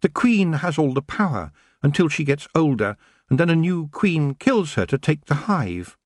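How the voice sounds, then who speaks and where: A man's voice: fairly high pitch, big pitch swings, moderate pace, dry recording, clean studio sound, voice pushed up. A man voicing a small, vain and cunning elf queen in a storybook, haughty and scheming. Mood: sly. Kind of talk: audiobook character voice.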